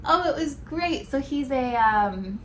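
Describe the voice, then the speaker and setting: A woman's voice: on the low side, lively intonation, fairly quick. An American woman in her thirties chatting casually on a couch, acting out quotes in exaggerated voices as a co-host. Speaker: co-host of a TV discussion show. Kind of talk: casual chat. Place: living room, on a couch at home.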